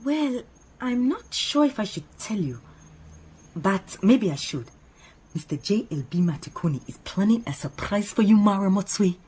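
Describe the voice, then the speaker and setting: Actress as an animated, opinionated woman assistant. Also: mid-range voice, a little slow, a little hiss or hum, natural voice, low-quality recording. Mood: coy, chatty. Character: earnest, opinionated female detective's assistant, proud and chatty. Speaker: actor in radio drama. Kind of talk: radio drama acting.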